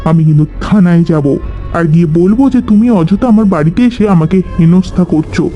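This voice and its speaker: A man's voice: sits high, brisk, soft background music, lightly acted. A man voicing a firm, outspoken village woman in a cartoon, assertive and reproachful, in dull lo-fi sound. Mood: indignant, defiant. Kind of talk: cartoon dialogue.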